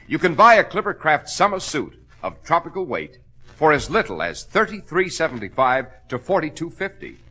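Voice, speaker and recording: An American man delivers an emphatic 1940s radio announcement. His voice is bright and punchy with a husky grain and very lively pitch. He sells with polish, as an old-time host. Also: normal speed.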